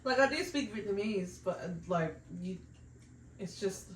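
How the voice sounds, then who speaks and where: A woman's voice: mid-range voice, expressive, normal speed, a little hiss or hum. A young woman from New Zealand with a New Zealand accent, chatting and teasing her partner in casual banter, laughing often. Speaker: co-host of couple food channel. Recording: echoey room, mic at a distance.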